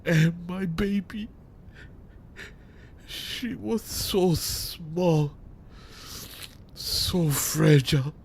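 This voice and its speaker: A man acting a grieving, unhinged father turned vengeful villain in a dramatic audio monologue, with menacing, anguished delivery and long pauses. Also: a little high, vocal fry, slight background noise, full character voice. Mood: grief-stricken.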